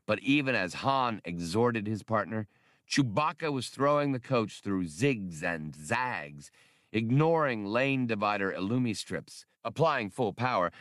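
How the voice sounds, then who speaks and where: Lively male audiobook narrator. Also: lowish voice, measured pace, no room sound, clean studio sound. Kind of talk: scripted dramatic narration of an adventure novel.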